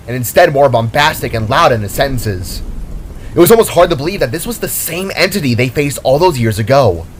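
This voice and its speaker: A man reading scripted dramatic narration as the narrator of a fantasy story. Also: mid-range voice.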